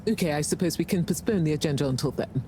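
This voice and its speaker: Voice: medium pitch, quick pace, mellow, a little hiss or hum, natural voice. An actor playing an officious, formal colleague in a video game, speaking in a businesslike way. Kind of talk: video game cut-scene dialogue.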